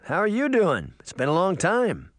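Storybook uncle, acted by a man, playful tease, very animated pitch, dry sound. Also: moderate pace, no room sound. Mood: surprised, insistent. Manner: playful, ribbing, casual. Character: breezy, teasing grown uncle, jokey and familiar. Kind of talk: audiobook character voice.

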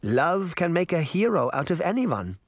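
A man's voice: fairly high pitch, very animated, normal speed, no room sound, pitched above the performer's own voice. A man voicing an elderly, wise and anxious grandmother in a storybook, earnest and lamenting. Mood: knowing.

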